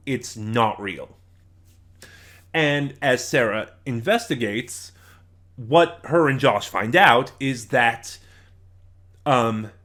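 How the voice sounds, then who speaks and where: A man giving an informal spoken review as a critic, with a halting, emphatic, exasperated delivery. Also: medium pitch, a bit bright. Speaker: audio drama reviewer.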